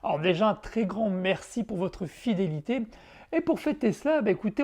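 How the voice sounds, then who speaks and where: A woman's voice: lowish voice, mellow, no room sound. A woman hosting a retro game show, narrating a review in a frank, conversational way with casual asides. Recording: background music under the voice.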